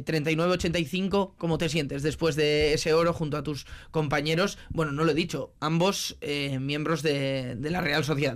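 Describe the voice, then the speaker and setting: A male sports interviewer with a Spanish accent from Spain. His voice sits fairly high and moves very little in pitch. He puts questions in a conversational way.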